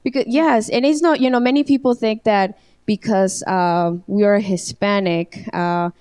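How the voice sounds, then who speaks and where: A woman's voice: medium pitch, steady tone, measured pace, darkish tone, clean tone, dry recording. A woman who grew up in Mexico, speaking English with a Mexican Spanish accent as a guest in a casual panel talk, hesitant with many ums and restarts.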